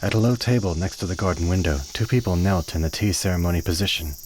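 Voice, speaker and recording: A man narrating a radio play in a measured storytelling manner. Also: deep voice, darkish tone, a little hiss or hum.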